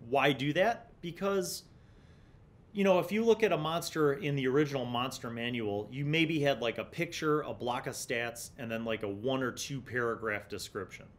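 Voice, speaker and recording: A man's voice: medium pitch, a bit bright. A man in his forties hosting a gaming review, explaining casually and reading passages aloud into a desktop microphone. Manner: conversational, explanatory. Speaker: host of a tabletop gaming review series. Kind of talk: casual commentary with passages read aloud from a magazine. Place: small indoor home studio. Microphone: large desktop condenser microphone.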